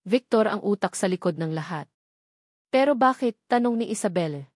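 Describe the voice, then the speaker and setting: A woman reads a scripted, dramatic story as its narrator. Her voice sits at an average pitch for a woman. The recording is very dry, with no room sound around the voice. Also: lively intonation, moderate pace, darkish tone, dry recording.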